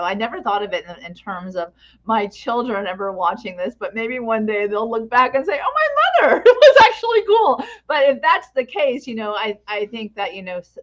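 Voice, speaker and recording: A woman's voice: medium pitch, a bit bright, highs cut off. A middle-aged woman hosting a conversational podcast interview, effusive and encouraging, with rambling questions. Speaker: podcast host interviewing a guest.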